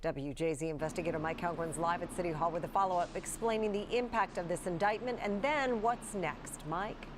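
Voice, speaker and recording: Woman, American accent, low-pitched voice, news anchor, scripted delivery. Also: brisk, hardly any pauses, noisy background.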